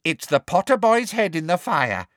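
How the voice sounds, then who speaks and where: A man's voice: high voice, big pitch swings, normal speed, fairly bright tone, no room sound, studio quality, voice pushed up. A man voicing a wheezy, gleeful old male house elf who talks about himself slyly. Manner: cackling, sly, wheezy.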